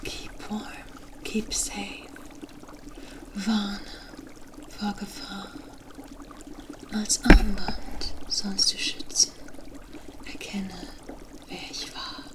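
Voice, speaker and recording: A woman voicing a mysterious, wry forest witch in a hushed, deliberate ASMR fantasy roleplay, with cryptic storybook phrasing. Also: mid-range voice, little pitch movement, very noisy. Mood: incanting, hushed. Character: mysterious forest witch, wry, knowing, offering protection for favors.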